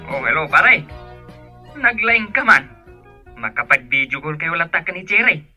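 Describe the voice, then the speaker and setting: Piercingly bright, teasing buddy. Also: male voice, a little high, animated, normal speed, soft background music. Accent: Ilocano Filipino. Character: teasing, curious male buddy on a call. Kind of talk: Ilocano radio drama acting.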